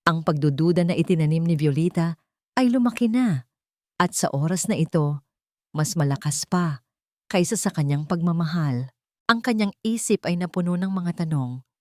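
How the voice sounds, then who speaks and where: Filipino woman narrating a dramatic love story, deep soft-toned voice, really dry sound. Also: lively intonation, normal speed, pauses often, no echo. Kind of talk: dramatic scripted romance narration.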